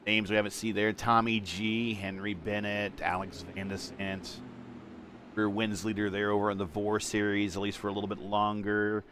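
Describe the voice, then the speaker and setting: A man calling play-by-play for an online sim racing broadcast, steady through caution laps and rising in pitch and volume at restarts. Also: moderate pace, slight background noise. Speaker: lead race commentator.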